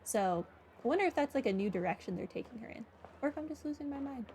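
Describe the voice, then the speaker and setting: Woman gamer commenting, slightly high voice. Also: lively intonation, quick pace, mellow, slight background noise. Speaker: gaming streamer. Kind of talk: casual playthrough commentary. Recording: game music behind the voice.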